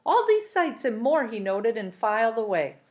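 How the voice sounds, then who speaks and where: A woman's voice: fairly high pitch, big pitch swings, normal speed, a little mellow. Woman teacher reading a story aloud. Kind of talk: expressive storybook read-aloud.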